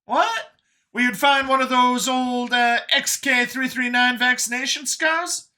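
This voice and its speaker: A man voicing a folksy, excitable older fugitive who lives in a youthful body, rambling as he talks in an audiobook story. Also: very high-pitched, unhurried, pitched above the performer's own voice, heavily acted. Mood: upbeat, chatty.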